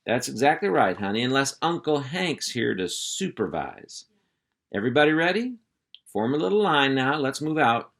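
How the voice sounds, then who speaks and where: A man's voice: medium pitch, sing-song, normal speed, vocal fry, a bit bright, pitched above the performer's own voice, heavily acted. A man playing a boastful cow dog. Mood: cheery. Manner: folksy drawl, hearty and lecturing. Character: boastful male ranch cow dog, folksy.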